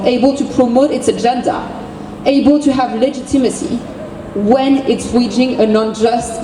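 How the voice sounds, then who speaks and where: A woman with a French accent giving an impassioned speech as an activist at an outdoor street rally, driving her points home with repeated phrases. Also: a little high. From France. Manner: forceful, repetitive rallying cadence. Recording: distant, echoey street recording with noise.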